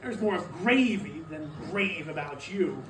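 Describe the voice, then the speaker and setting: A man's voice: fairly high pitch, animated, unhurried, far from the mic. Guy doing a jittery old miser, stammery n querulous, swoops up and down, echoey noisy room. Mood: scornful, doubting. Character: frightened, skeptical old miser, pleading and querulous. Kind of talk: dramatic stage reading.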